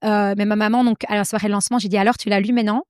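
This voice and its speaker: Une femme belge à l'belge, autrice invitée, qui parle de façon hésitante avec beaucoup de « euh » et de « en fait ». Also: female voice, mid-range voice, flat delivery, racing, a little mellow, no room sound, clean studio sound. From Belgium. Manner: hesitant, many fillers and restarts. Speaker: guest author. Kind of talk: casual interview conversation.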